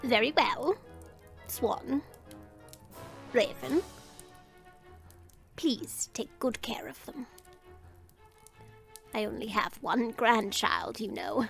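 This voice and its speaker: A woman acting a wise, composed old grandmother in a fantasy audio drama, speaking formally and with gentle firmness. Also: fairly high pitch, vocal fry, soft background music, full character voice. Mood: gently firm. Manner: measured, formal, gently firm.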